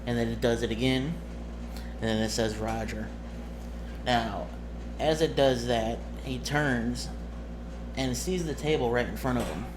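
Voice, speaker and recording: Slow-paced man narrating over noticeable background noise. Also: mid-range voice. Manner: halting, muttering to himself while working out numbers. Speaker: game master. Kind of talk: casual narration of a tabletop roleplaying game, thinking aloud. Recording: noisy room with background ambience.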